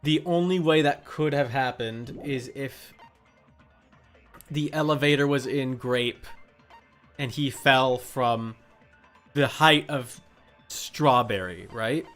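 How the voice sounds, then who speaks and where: A man's voice: medium pitch, slow, long pauses, fairly bright tone, faint music behind. A man in casual banter, guiding another player through a game.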